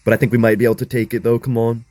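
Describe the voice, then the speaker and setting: A young man with a hoarse voice giving chatty, excitable live commentary on a mobile game as he plays, talking to his viewers. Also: mid-range voice, very fast, dry recording. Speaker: gaming content creator.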